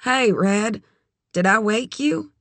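A shy, naive young woman, voiced by a woman narrator, quiet and embarrassed. She speaks slowly and tentatively in audiobook dialogue, recorded close and dry. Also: fairly high pitch, lively intonation, dry recording, voice pushed up, heavily acted.

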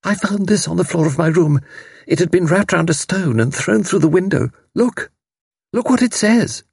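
A male narrator puts on a distraught young mother in an audiobook. The voice is lifted high and swoops about in breathless, wailing panic. It is weepy and a little husky, recorded dry. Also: expressive, moderate pace, no room sound, exaggerated voice. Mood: frantic. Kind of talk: audiobook character voice.

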